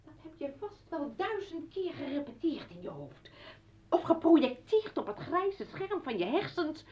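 An actress playing a hurt, scornful ex-wife in a radio play, sarcastic and accusing. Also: female voice, high-pitched, big pitch swings, normal speed. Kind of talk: Dutch radio play acting. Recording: dull, distant old radio recording.

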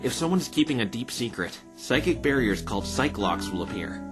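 A man's voice: mid-range voice, very animated, a little fast, close to an everyday voice. A man playing an earnest, plain-spoken, wry male defense lawyer in conversational game-style dialogue. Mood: explaining. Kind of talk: fan dub of visual novel game dialogue. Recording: background music under the voice.

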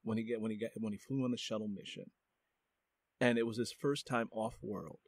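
A man's voice: on the low side, steady tone, a little fast, dry recording. A man hosting an interview podcast, speaking earnestly and repeating phrases for emphasis. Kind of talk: casual interview conversation.

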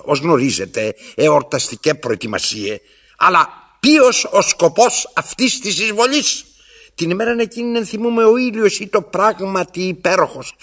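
An actor playing an imperious, pompous old tyrant in a radio play, declaiming with self-interrupting asides in a gravelly voice. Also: male voice, fairly high pitch, fairly bright tone, exaggerated voice. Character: imperious, theatrical old male tyrant telling his story. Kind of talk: radio theatre acting.

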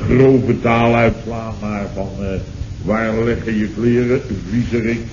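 A blustering boss played by a man tells his woes with indignant exclamations in a vintage radio comedy. The recording is muffled, with clear noise and a roomy ring. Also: on the low side, flat delivery, a little slow, lightly acted. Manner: exclamatory, indignant, repeats himself. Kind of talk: 1970s radio comedy acting.

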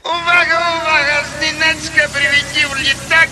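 A man's voice: very high-pitched, flat delivery, moderate pace, poor audio. A man voicing a droning airport public-address announcer in a comic cartoon. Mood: flat. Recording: loud music and crowd noise behind.

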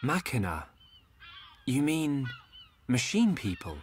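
Earnest young man, lively pitch. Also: slow pace, slight background noise. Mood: wondering. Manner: earnest, wondering. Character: earnest, thoughtful young male hero. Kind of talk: scripted video game cutscene acting.

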